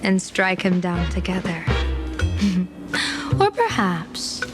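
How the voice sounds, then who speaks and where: A woman's voice: on the low side, sing-song, extremely slow, music in the background, exaggerated voice. A woman voicing a playful, scheming female general who is a seer, teasing and laughing often in a game cutscene.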